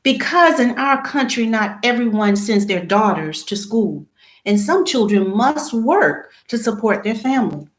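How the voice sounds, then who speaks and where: A woman voicing a gentle, troubled father who explains things to his child in a measured way, as in a storybook. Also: fairly high pitch, lively intonation. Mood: gentle, serious. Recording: echoey room.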